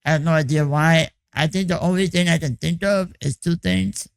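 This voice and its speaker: Hoarse young man with a gravelly voice. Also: fairly high pitch, steady tone, normal speed. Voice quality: raspy, croaky. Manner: loose and rambling, full of "like" and "you know". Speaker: music production tutor. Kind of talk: casual vlog check-in.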